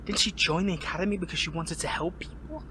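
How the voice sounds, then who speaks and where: A man playing an earnest, conflicted young captured hero in an amateur audio roleplay, speaking haltingly, with pleading emotion. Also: on the high side, fairly even intonation, sharp tone, slight background noise. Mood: disbelieving. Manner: halting, emotional, pleading, repeats and breaks off words. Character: earnest captured young male superhero, shaken, conflicted and lovesick.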